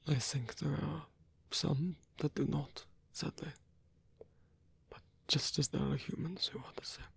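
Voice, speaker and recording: Slow, whispery old vampire, acted by an ASMR performer. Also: medium pitch, fairly even intonation, full character voice. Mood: sombre, reassuring. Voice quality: breathy, whispery, husky. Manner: slow, halting, tender delivery. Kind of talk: ASMR roleplay monologue.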